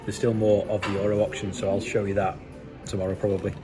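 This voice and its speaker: A man's voice: fairly low pitch, level tone, fast, music in the background. A man with an English accent chatting casually as he walks around an outdoor machinery auction yard, making offhand remarks. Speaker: farm vlogger. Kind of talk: casual vlog commentary.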